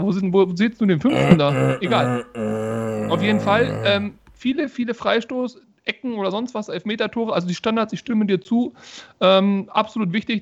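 A man's voice: high voice, creaky. A man on a podcast panel casually discussing football in an emphatic, opinionated way. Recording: dull lo-fi microphone sound.

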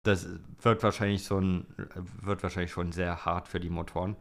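A man's voice: low-pitched, little pitch movement, normal speed. A man co-hosting a casual motorsport chat, speaking informally and repeating points for emphasis. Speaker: podcast co-host.

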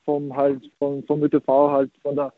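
A teenage man from Austria answers in short, halting phrases, padding them with the same filler words. His voice is fairly high for a man and stays flat. The sound is dry and thin, as if it came over a phone line. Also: no room sound. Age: teens. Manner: hesitant, repeats filler words. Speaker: young tennis player. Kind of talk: interview answers.

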